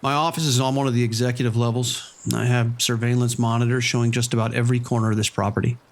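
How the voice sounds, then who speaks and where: A man's voice: mid-range voice, level tone, quick pace, lightly acted. A man playing a matter-of-fact, weary casino operations manager in an understated audio drama monologue. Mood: matter-of-fact, uneasy.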